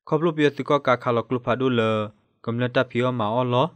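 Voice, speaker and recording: A male news reader delivers a scripted radio bulletin in a medium-pitched voice. The sound is dry and close, with no room around it. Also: a little fast, dry recording.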